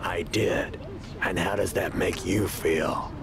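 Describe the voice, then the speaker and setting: An actor playing a menacing, grieving middle-aged drifter in a video game, speaking quietly and ominously in a gravelly voice. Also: male voice, steady tone, noisy background. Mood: quiet menace. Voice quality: gravelly, low. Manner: slow, quiet, ominous, half-whispering.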